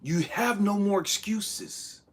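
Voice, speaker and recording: A man giving a blunt, profane motivational talk, shouting one moment and dropping to hushed asides the next. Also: high-pitched, slow. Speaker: motivational speaker. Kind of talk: impassioned motivational monologue.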